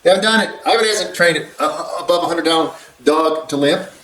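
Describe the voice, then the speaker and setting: A man's voice: on the high side, fairly quick, sharp tone. An older man, a longtime dog trainer, giving a blunt, rambling reaction commentary with the odd chuckle. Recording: echoey room with faint background hum.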